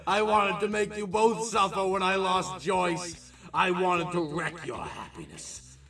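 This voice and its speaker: A man's voice: sits high, measured pace, bright, forceful tone, heavily acted. A man voicing a theatrical, ghoulish male corpse in a horror comic reading. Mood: panicked, pleading. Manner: theatrical, melodramatic. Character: gleeful ghoulish male corpse turned pleading victim.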